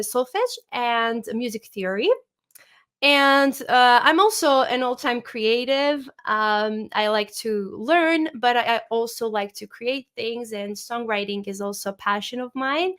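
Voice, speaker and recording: A woman's voice: a little high, fairly bright tone, clear, resonant tone. A woman, a music teacher giving an online webinar presentation over a video call, talking hesitantly with many filler sounds. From Serbia. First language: Serbian.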